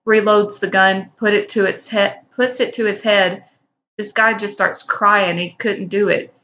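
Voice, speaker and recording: A woman's voice: medium pitch, steady tone, measured pace, fairly bright tone, muffled recording, slight background noise. A woman co-hosting a podcast, speaking informally, whether reading fan fiction aloud or bantering. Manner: informal, teasing, profane. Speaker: podcast co-host.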